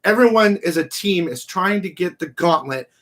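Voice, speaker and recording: A man's voice: high-pitched, expressive, moderate pace, a bit bright. A man hosting a film discussion, talking in a loose, colloquial and slangy way. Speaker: host of a live movie review show. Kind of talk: casual unscripted film discussion.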